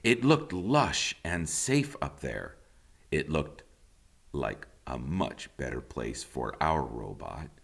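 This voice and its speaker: Male storybook reader, deep voice, slow and deliberate delivery with big expressive swings for young listeners. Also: vocal fry, husky. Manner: animated, deliberate, dramatic emphasis. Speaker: teacher reading a children's book aloud.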